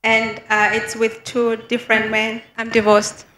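A woman from Kenya answers in short phrases with a high, flat, bright voice. She sounds distant in an echoey room with a faint ambient hum. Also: unhurried. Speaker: congregant.

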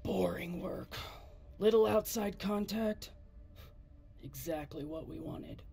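An actress playing a gruff, guarded, foul-mouthed woman spaceship pilot, speaking bluntly with a husky voice in a video game. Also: fairly low pitch, a little mellow, exaggerated voice. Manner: blunt, terse, sardonic. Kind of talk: naturalistic video game acting.

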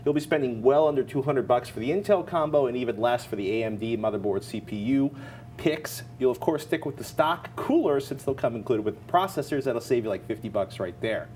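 A man's voice: medium pitch, lively intonation, brisk, a little mellow, a little hiss or hum. A middle-aged American man co-hosting a tech show at a studio desk, presenting and giving advice casually.

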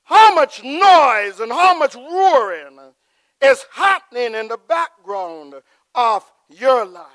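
A middle-aged man preaches from a church pulpit in a strikingly high, bright voice, delivered at a very slow, deliberate pace. His sermon swells into loud, emphatic peaks before falling to a hushed, prayerful close. The sound is dry and close to the pulpit mic. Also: very animated, no room sound. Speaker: pastor. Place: large church sanctuary with choir behind.

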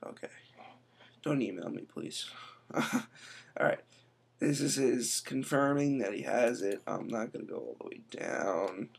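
A man's voice: medium pitch, expressive, vocal fry, husky. Chatty young man on a webcam. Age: young adult. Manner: chatty, quick asides, says "I'm telling you". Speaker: tech vlogger. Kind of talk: casual screen-recorded tutorial. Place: indoor room.

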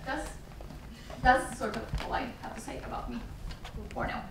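A woman's voice: high-pitched, moderate pace, noisy background. A young woman introduces herself hesitantly. She is heard from a distance in a very echoey room. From Turkey. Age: young adult. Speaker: cosplayer on panel. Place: conference room with audience.

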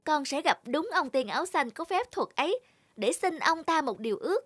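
A woman's voice: high voice, sing-song, moderate pace, over loud music. A woman voicing a spoiled, jealous, rude young stepsister in a children's cartoon, whiny and snappish. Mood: pushy.

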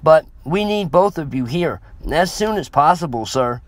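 A man's voice: fairly high pitch, lively intonation, moderate pace, dry recording, voice pushed up, natural voice. A man voicing a worried female nursery worker in a story, speaking politely and formally with urgency. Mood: pleading, reassuring.

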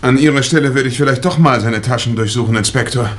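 A man's voice: mid-range voice, fast talker, close to an everyday voice. An actor playing a helpful, attentive male witness in a 1960s German radio crime drama. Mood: suggesting.

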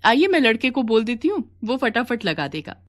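Jealous, pushy salon boss for cartoon villain role, female performer; higher voice. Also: sing-song, normal speed. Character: scheming, jealous woman beauty parlour owner.

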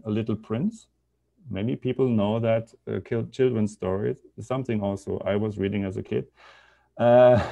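A middle-aged German-accented man with a low, creaky voice presents his work on a video call. He speaks informally and haltingly, working out his thoughts as he goes. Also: warm tone. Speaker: presenter, media artist and professor. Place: indoor room on a video call.

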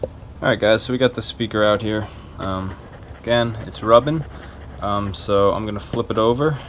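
A man's voice: on the low side, moderate pace, a little hiss or hum. A man talking casually and a little hesitantly through a hands-on repair tutorial, unscripted and informal. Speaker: video maker giving a repair tutorial. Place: small cluttered carpeted room indoors.

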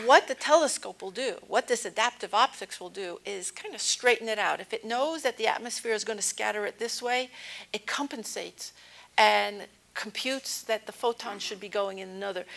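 Creaky-voiced older woman lecturing. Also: on the high side, fairly bright tone. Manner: conversational, explanatory, with plain-spoken asides. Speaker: astronomy lecturer. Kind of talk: informal public science lecture. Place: indoor stage at a podium. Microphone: lapel mic.

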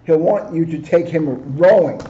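Older man, high voice, muffled recording. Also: unhurried, crowd noise. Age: 60s. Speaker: police officer reading a children's book. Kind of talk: picture book read-aloud with joking asides. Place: office, indoors. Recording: dull, lo-fi camera microphone.

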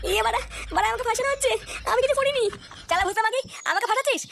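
A performer voicing an indignant young married man in a comedy cartoon, with a squeaky pitched-up voice and crude, exclamatory talk. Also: very fast, creaky, noisy background. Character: Futo, hapless young married man, indignant and foul-mouthed. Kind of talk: exaggerated comedy cartoon dubbing.

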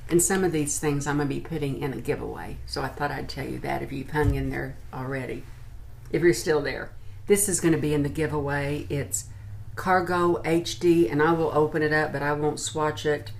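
A woman's voice: deep voice, fairly even intonation, moderate pace, vocal fry, slight background noise. An American woman in her sixties chatting informally to camera as she reviews products. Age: 60s. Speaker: beauty and lifestyle vlogger. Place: small furnished room at home, indoors.

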